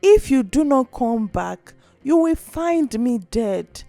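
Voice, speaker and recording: A woman voicing a kind, sad male beast in a folktale, speaking in a gentle, grave way. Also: high voice, animated, takes it slowly, gravelly, no echo, soft background music, exaggerated voice. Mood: solemn.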